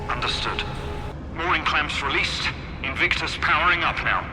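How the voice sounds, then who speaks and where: An actor playing a devout, anxious machine-priest engineer in a video game, speaking formally and reverently. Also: male voice, on the high side, moderate pace, background noise, natural voice. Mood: dutiful. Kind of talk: cinematic video game acting.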